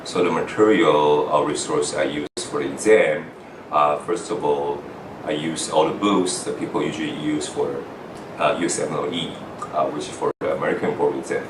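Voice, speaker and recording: A man's voice: extremely low pitch, fairly even intonation, roomy sound, recorded from a distance, background noise. A middle-aged man from Taiwan, a foreign-trained doctor, giving an earnest account of his path to residency, with many "uh" fillers and restarts. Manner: halting, frequent "uh" fillers and restarts. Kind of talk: earnest personal account to camera.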